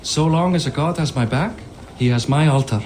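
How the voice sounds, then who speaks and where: A man's voice: fairly high pitch, a little fast, a little mellow, a little hiss or hum, lightly acted. An actor playing a boisterous, proud middle-aged Viking king in a video game cinematic, hearty and boastful as he declaims.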